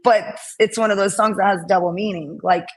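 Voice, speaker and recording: Raspy-voiced woman musician on a call. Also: medium pitch, fairly even intonation, fairly quick. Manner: earnest, rambling with many fillers. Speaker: musician guest. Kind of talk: casual interview chat. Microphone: laptop on a video call.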